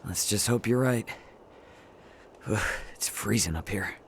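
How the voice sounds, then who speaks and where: A man's voice: fairly low pitch, takes it slowly, creaky, a little hiss or hum. An actor playing a brash, easygoing young male spacefaring adventurer in a video game, with a casual, teasing manner. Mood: wry teasing.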